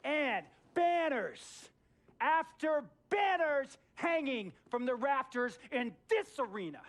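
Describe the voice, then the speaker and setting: Theatrical bullying billionaire team owner. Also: male voice, extremely high pitch, very animated, takes it slowly, heavily acted. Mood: furious, commanding. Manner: theatrical, preachy, builds from hushed to shouting. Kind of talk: video game cutscene acting.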